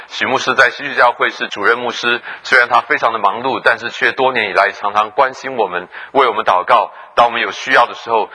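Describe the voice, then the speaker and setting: A man's voice: mid-range voice, pushed and bright, rough recording. A man who introduces the guest pastor, then interprets the sermon phrase by phrase. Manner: rapid interpreting after each phrase. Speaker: church host and interpreter.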